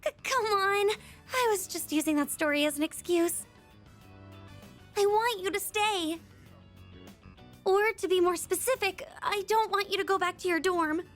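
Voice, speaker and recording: A woman's voice: extremely high pitch, unhurried, pauses often, soft background music. A woman voicing a shy, clingy, love-struck teenage schoolgirl in a video game, hesitant in manner. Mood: nervous pleading. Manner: hesitant, pleading, soft-hearted.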